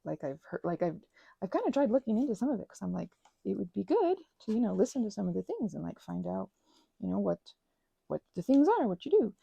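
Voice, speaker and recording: A young woman chatting in a rambling, casual way while she works on a craft project, sometimes dropping into soft whispers. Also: mid-range voice, very animated, quick pace, gravelly, husky, no echo, lo-fi sound. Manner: chatty, rambling, drifts between soft whispers and louder asides. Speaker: ASMR creator.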